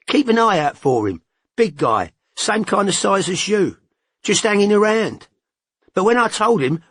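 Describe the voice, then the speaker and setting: A man voicing a cocky, smug man with a husky voice, in an audiobook, blunt and slangy. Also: high-pitched, fairly bright tone, dry recording, voice pushed up, heavily acted. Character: cocky working-class English man, chatty, smug. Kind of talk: audiobook character voice.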